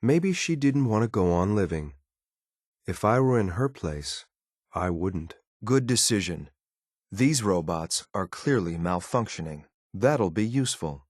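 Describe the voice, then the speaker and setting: A man voicing a cold, ruthless synthetic enforcer in a video game, with a clipped, deadpan, formal delivery. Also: sits low, dry recording, clean studio sound. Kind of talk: scripted game companion voice lines.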